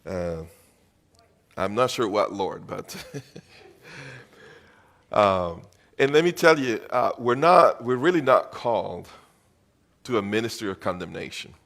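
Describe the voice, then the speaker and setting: A man's voice: on the low side, sing-song, unhurried, long pauses, a bit bright. A middle-aged man from Venezuela preaching a sermon as pastor, earnest and emphatic, repeating phrases for weight.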